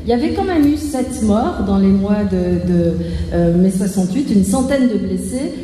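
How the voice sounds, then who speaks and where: A woman moderating a panel discussion, often pausing with fillers. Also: medium pitch, animated, dark tone. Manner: hesitant, many fillers. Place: large hall at a round table event. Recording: echoey hall reverb, faint noise.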